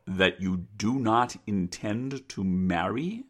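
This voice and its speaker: A man's voice: mid-range voice, big pitch swings, normal speed, bright, forceful tone. A man voicing a stern, insistent father who speaks in firm, formal, old-fashioned language. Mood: formal, surprised. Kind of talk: dramatized reading of an old sentimental tale.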